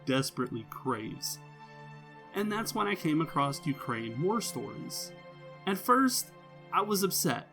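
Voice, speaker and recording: A man reads a scripted video game review as the channel's host, measured and phrase by phrase, with emphatic pauses. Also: fairly high pitch, fairly bright tone. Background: music under the voice.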